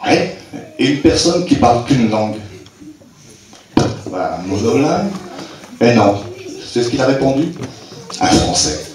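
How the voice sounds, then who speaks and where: A man's voice: medium pitch, sing-song, a little slow, long pauses, noisy background. An older man from Brittany speaking informally to a live audience at a local fête, hesitant, with many restarts, chuckling at his own jokes. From Brittany, France. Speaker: president of a rural club, speaker at a local fête. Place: community hall at a local festival. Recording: echoey hall, voice from far off.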